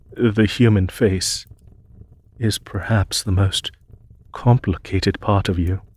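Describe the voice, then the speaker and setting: A man playing a solemn, wistful demon emperor in an audio roleplay, speaking in a husky voice with slow, measured, sorrowful pauses. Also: sits low, full character voice. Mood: grieving. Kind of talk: scripted audio roleplay monologue.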